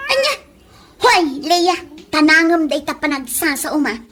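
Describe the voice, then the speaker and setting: A woman's voice: high-pitched, measured pace, a bit bright. A woman with an Ilocano accent playing a quarrelsome, thin-skinned gossip in a comedy radio drama, whining and confrontational. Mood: mocking. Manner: indignant, confrontational, whiny exclamations. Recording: noisy home-made radio drama recording.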